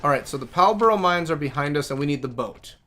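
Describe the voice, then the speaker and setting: Slightly high-voiced man streaming a game. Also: expressive, fast talker, fairly bright tone. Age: 30s. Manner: thinks aloud, rambling and chatty. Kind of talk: casual livestream chat.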